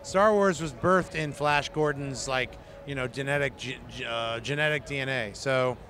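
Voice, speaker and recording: A man co-hosting a movie news chat, his voice hoarse and strained, talking in an easygoing way full of "uh" and "right". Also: mid-range voice, moderate pace, pushed and bright. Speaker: co-host of a movie news show. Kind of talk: casual chat about movies. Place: outdoors at a busy convention.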